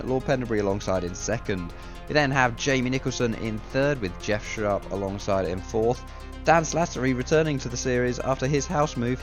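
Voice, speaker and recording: A man talks through a sim racing league race in a casual, dry, self-mocking way. He is a bit under the weather, so his voice sits deeper than usual, though still mid-range for a man. Faint music plays underneath.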